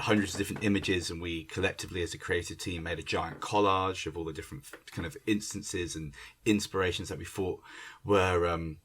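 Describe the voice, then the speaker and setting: A man's voice: sits low, fairly bright tone, slight background noise. A man speaking as a theatre director in an unscripted conversation, hesitant and searching, often repeating and restarting his words. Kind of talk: unscripted conversation introducing a creative team. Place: remote internet call. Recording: online call recording.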